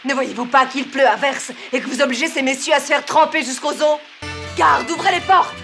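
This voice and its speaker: A woman's voice: sits high, steady tone, fairly quick, a bit bright, soft background music. A woman playing a proud young noblewoman officer raised as a man, in formal, earnest cartoon dubbing. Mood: commanding, indignant. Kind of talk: French-dubbed cartoon acting, formal period diction.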